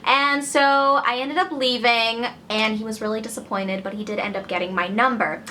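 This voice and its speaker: Woman vlogger from Connecticut, lively storyteller, crisp bright tone, faint hiss behind. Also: fairly high pitch, moderate pace. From Connecticut, USA. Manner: chatty, lively, acts out quoted voices. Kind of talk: casual storytelling to camera.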